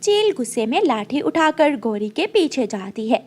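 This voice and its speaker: A woman's voice: sits high, sing-song, normal speed, darkish tone. A woman storyteller giving scripted narration for a children's cartoon.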